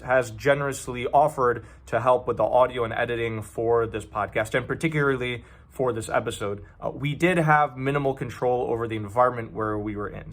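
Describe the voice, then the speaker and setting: A man's voice: medium pitch, a bit bright. A man hosts a casual podcast interview in an everyday mid-range voice. He rambles a little and leans on fillers like "like" and "you know". He speaks into a lapel mic. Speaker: podcast host. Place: indoor venue with background noise. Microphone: lapel mic on collar.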